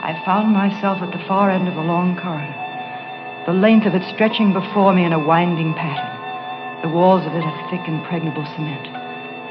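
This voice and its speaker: Haunted female narrator-defendant, 1940s radio suspense, dull vintage sound with musical underscore. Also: low voice. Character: haunted, frightened woman on trial, narrating her nightmare. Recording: muffled old radio recording, music behind.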